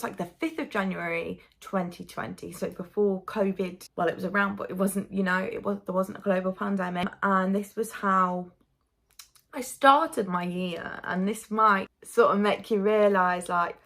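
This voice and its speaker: A woman's voice: mid-range voice, vocal fry, fairly bright tone. Chatty woman with a stuffy nose. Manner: chatty, frank, full of fillers. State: stuffy nose from hay fever. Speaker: vlogger. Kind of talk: casual commentary reacting to clips.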